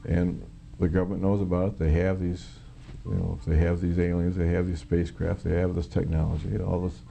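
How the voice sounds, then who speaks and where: Very gravelly, low-voiced American man. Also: flat delivery, a little fast, dark tone, slight background noise. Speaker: former military air traffic controller, witness. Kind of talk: personal testimony in an interview.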